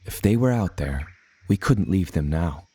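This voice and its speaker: A man's voice: low voice, animated, moderate pace, warm tone, husky. An actor playing an earnest seafaring adventurer who tells his tale in formal, old-fashioned language. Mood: earnest storytelling. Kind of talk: scripted storybook narration.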